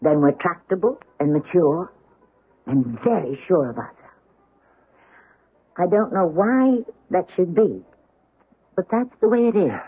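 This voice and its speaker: On a muffled old radio recording, a troubled stage actress, earnest and articulate. Her voice is husky, with a theatrical 1970s radio drama delivery, by turns devoted and firm. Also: lowish voice, expressive, measured pace, long pauses. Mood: wistful, resolute.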